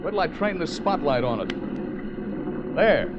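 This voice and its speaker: Animated, easygoing man, old radio. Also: on the high side, takes it slowly. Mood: brisk, eager. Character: obliging, easygoing young man, a gallant motorist. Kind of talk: 1950s radio drama acting. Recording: muffled old radio recording, faint car noise.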